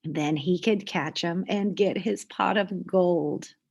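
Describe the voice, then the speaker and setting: A woman reading aloud to children in an expressive storytelling style, with deliberate pauses and emphasis. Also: fairly low pitch, vocal fry, slightly rough.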